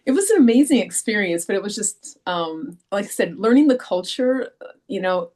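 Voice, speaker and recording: A woman's voice: mid-range voice, expressive, normal speed, darkish tone. A middle-aged woman chats casually as a podcast guest. She talks in loose, rambling runs, full of ums and self-corrections, and laughs easily. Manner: chatty, frequent ums and restarts, laughs easily. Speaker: author guest. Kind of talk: casual interview chat.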